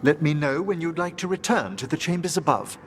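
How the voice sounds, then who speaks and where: An actor playing a courteous, scholarly older necromancer in a video game, with a formal, precise, theatrical manner. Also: male voice, a little high, sing-song, brisk, a little hiss or hum. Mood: earnest. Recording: music behind the voice.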